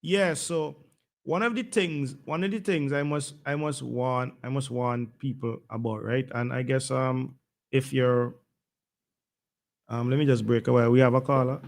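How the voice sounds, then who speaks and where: A man's voice: medium pitch, steady tone, unhurried, creaky. A man hosting a live radio call-in talk show, speaking hesitantly and often repeating words. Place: radio studio.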